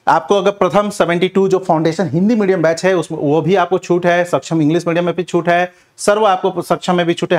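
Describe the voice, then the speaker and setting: A man teaching an exam revision class in question-and-answer form, addressing students directly with repeated check-in tags. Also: on the high side. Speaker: history teacher for competitive exams.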